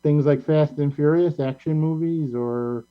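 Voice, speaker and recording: A man's voice: fairly high pitch, a little slow. A man hosting a casual interview, chatting and asking questions in a conversational way. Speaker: interviewer and show host. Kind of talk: casual interview chat about movies. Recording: muffled lo-fi sound with mains hum.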